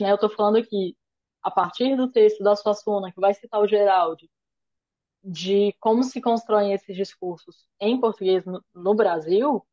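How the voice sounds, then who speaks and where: A woman from Brazil teaches over a video call in a measured, explanatory way. She tags her points with little check-ins as she reasons aloud. Her mid-range voice comes through dull and lo-fi. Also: mid-range voice, a little mellow. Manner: thoughtful, explanatory, frequent "né?" tags. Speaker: course lecturer. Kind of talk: online lecture discussion.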